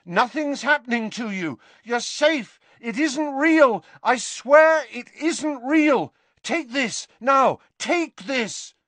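A man's voice: high-pitched, sing-song, takes it slowly, fairly bright tone, no echo, voice pushed up, heavily acted. A man voicing an anxious, earnest teenage boy wizard in an audiobook, speaking with urgent, strained insistence. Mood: desperate reassurance.

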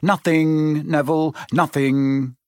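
A man's voice: on the high side, level tone, takes it slowly, dry recording, studio quality, pitched above the performer's own voice. A man voicing an urgent, resolute schoolboy hero in a storybook reading, with an insistent manner.

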